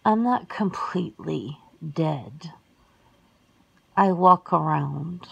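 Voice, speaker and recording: A woman voicing a centuries-old vampire sister in an ASMR roleplay, cool and worldly, measured and often slipping into whispers. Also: low voice, animated, a bit bright, dull audio, exaggerated voice. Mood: cool, weary. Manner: measured, breathy, dropping into whispers.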